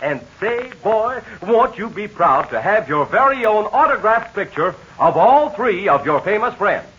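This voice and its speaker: A man announcing a 1940s radio serial, making the sponsor's pitch to young listeners in an eager, breathless kid-show sales style, heard on a dull lo-fi old radio transcription. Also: very animated, unhurried, fairly bright tone, breathy edge.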